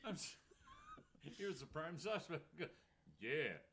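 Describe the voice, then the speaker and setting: A man's voice: fairly high pitch, huge pitch swings, slow, crowd noise. A man co-hosting a podcast with sarcastic, mock-indignant comic riffs and casual swearing. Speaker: podcast co-host.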